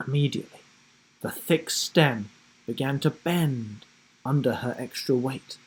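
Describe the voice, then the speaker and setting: A male storyteller reads a children's book with drama and suspense. His voice is medium in pitch and slightly husky. He takes his time, leaving deliberate pauses and animating the action. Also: a bit bright, poor audio. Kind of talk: dramatic scripted storybook narration.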